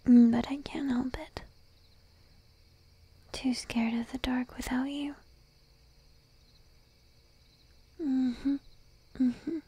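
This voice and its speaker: Slow, breathy shy sheep-girl girlfriend, acted by a woman. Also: high-pitched, flat delivery, no echo, heavily acted. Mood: coaxing, affectionate. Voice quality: soft, breathy whisper. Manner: timid, coaxing, lingering pauses. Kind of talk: whispered ASMR roleplay. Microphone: close microphone, dry.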